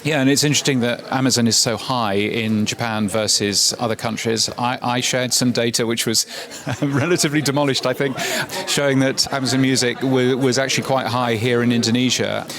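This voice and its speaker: A man's voice: medium pitch, fairly even intonation, a little fast, crowd noise. A male podcast host with a mid-range voice interviews people at a conference. He talks casually and often stumbles and restarts as he asks his questions.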